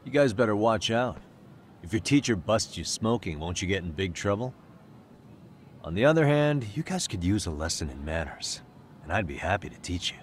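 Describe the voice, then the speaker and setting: A man's voice: on the low side, animated, moderate pace, slight background noise, close to an everyday voice. An actor in his thirties playing a tough, street-smart male detective in an English game dub, wry, confident and threatening. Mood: cool menace. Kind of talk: English video game dub cutscene acting. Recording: music under the voice.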